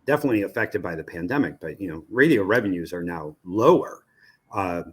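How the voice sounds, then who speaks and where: A man with an American accent and a fairly low voice answers as an expert guest in an interview. He lays out his reasoning step by step in a conversational, explanatory way. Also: lively intonation, a little fast, husky. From United States. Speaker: media research executive, interview guest.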